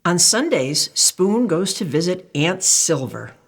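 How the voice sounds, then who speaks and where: A woman's voice: lowish voice, big pitch swings, takes it slowly, slightly rough. An older woman reading a children's picture book aloud expressively, as a storyteller.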